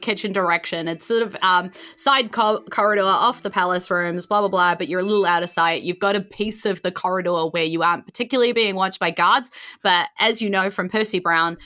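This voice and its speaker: A woman's voice: lowish voice, pushed and bright. A woman with a New Zealand accent running a tabletop game, improvising her narration in an informal, meandering, conversational way. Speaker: game master.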